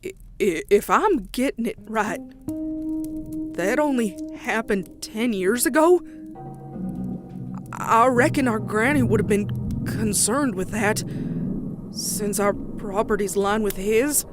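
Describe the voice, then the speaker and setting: A woman voicing a blunt young farm pony with a Southern US accent and a folksy, expressive way of talking, in a cartoon comic dub. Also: a little high, takes it slowly, pauses often, over music, voice pushed up. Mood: puzzled. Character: plainspoken young country farm mare, blunt and worried.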